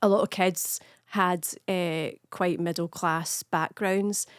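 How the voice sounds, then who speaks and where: A woman's voice: on the low side, fairly even intonation, slow, clear, resonant tone, no room sound, studio quality. Scottish woman with a Glasgow accent. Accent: Glasgow West End. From Scotland. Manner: chatty, laughing, storytelling. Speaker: comedian guest. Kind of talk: casual chat.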